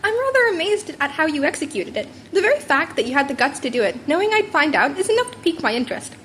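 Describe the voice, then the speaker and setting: Small animal headmaster female reader, courteous, gleefully scheming, high-pitched, for a fan audiobook with faint background noise. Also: sing-song, quick pace, a bit bright. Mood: amused, reassuring. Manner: polite, amused, chuckling. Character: small cheerful, scheming animal school principal. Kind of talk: amateur fan fiction audiobook character.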